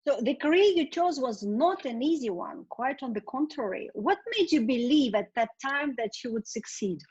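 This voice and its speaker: A woman interviewer asking prepared questions in measured, formal phrasing. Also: sits high, lively intonation, a little mellow, husky, dull audio.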